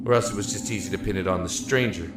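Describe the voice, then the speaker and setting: An actor playing an earnest, anguished young man locked in a dark cell, speaking haltingly and emotionally in an audio drama. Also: sits low, echoey room, noisy background, lightly acted. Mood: weary, bitter. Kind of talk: audio drama acting and first-person narration. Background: music.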